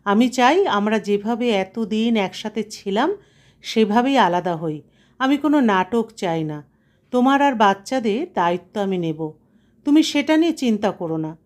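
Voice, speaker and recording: A woman's voice: mid-range voice, expressive, normal speed, darkish tone, natural voice. A woman voicing a cold, determined middle-aged husband in an audio story, firm and matter-of-fact.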